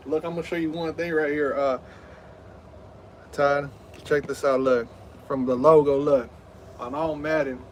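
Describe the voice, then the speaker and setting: A man's voice: on the high side, steady tone, measured pace, long pauses, recorded from a distance, slight background noise. Rambling man streaming a football game. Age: 30s. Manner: rambling, many fillers, hyped play-by-play. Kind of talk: live game stream commentary.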